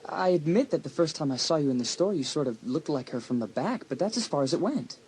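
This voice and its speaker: A man's voice: a little high, animated, quick pace, a little mellow, natural voice. An actor playing a confident, teasing, sporty teenage boy with a romantic streak in a dramatised audiobook. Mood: defensive. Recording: audiobook tape with faint noise.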